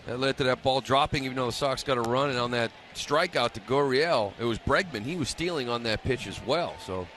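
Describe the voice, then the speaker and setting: A male baseball analyst comments between plays on a live radio broadcast. His pitch is average for a man, and faint ballpark ambience sits behind him. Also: a little fast, few pauses, a bit bright, slight background noise.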